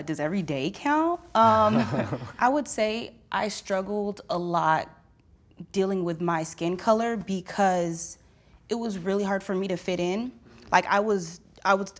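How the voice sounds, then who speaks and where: A woman's voice: mid-range voice, a little slow. A woman in her twenties speaking with confidence and pride about her body. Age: 20s. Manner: confident, proud. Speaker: participant. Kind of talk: candid conversation.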